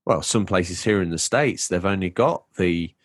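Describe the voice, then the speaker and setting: A man's voice: low-pitched, normal speed, no room sound, studio quality. A man co-hosting a casual podcast chat, wry and full of rhetorical questions. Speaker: podcast co-host.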